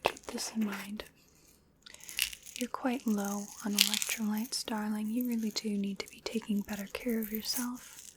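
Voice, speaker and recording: A woman's voice: mid-range voice, little pitch movement, very noisy, natural voice. A woman playing a playful, polite, hungry vampire in a whispered ASMR roleplay, coaxing and musing as she dotes on the listener. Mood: coaxing, doting. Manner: slow, coaxing, musing, with pet names.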